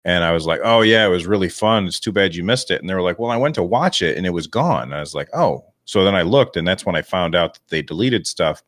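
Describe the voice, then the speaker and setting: A man with a deep radio voice, rambling and self-deprecating as a guest on a podcast interview. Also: expressive, fast, few pauses, a bit bright, no room sound, clean studio sound. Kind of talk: relaxed interview talk.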